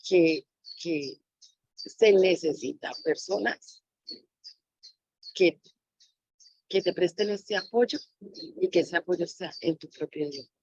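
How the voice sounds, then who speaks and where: A woman's voice: lowish voice, takes it slowly, pauses often, a little mellow, no room sound, lo-fi sound. A woman speaking reflectively as an interviewee, sharing personal experience as a mother. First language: Spanish.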